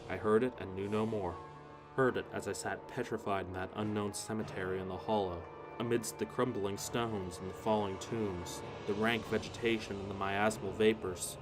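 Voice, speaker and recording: A man's voice: fairly low pitch, steady tone, moderate pace. A man narrating a horror story in the first person, solemn and theatrical. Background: music.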